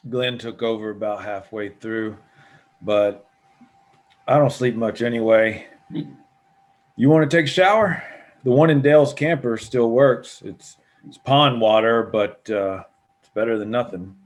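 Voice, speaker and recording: An actor playing a friendly, restless lookout and hunting partner in a table read, with an offhand, casual delivery. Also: male voice, medium pitch, measured pace, long pauses, close to an everyday voice. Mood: companionable.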